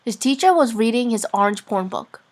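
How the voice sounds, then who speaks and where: A woman's voice: a little high, expressive, brisk, heavy background noise. A woman narrating fan fiction in an informal, amateur reading-aloud style. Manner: giggly, informal.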